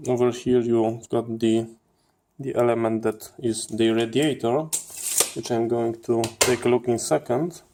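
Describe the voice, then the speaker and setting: A man giving hands-on teardown commentary as a channel host, in halting phrases with repeated words. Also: medium pitch, flat delivery, mellow, a little hiss or hum. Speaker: host of a radio electronics channel.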